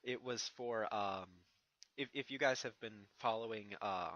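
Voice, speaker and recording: A man's voice: lowish voice, unhurried, bright, forceful tone, dry recording, highs cut off. Hesitant man, dry close sound. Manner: hesitant, rambling, stammering. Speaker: fan fiction reader and online creator. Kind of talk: casual channel update.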